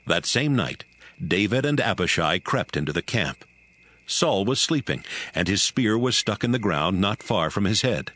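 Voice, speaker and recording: A man narrating a scripted audio Bible as a story, in a measured storytelling manner. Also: lowish voice, lively intonation, fairly bright tone.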